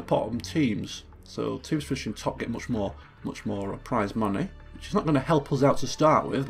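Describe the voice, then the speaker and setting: Male let's play host, mid-pitched and gravelly, croaky and chatty, thinking aloud. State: croaky from just waking up. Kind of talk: casual gameplay commentary.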